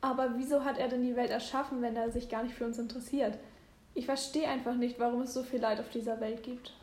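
A woman's voice: a little high, flat delivery, quick pace, darkish tone, echoey room. A nineteen-year-old woman who volunteers in a hospital, speaking earnestly and asking questions.

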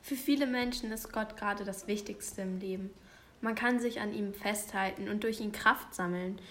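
A woman in her fifties, a pastor, speaking calmly and in a measured way as a guest in a discussion. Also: medium pitch. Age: 50s.

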